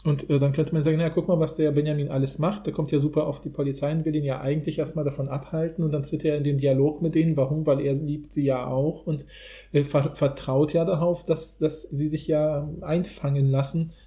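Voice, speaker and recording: A man's voice: on the high side, little pitch movement, fairly quick, dark tone. A man co-hosting a podcast, talking casually and analytically in a discussion. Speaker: podcast co-host.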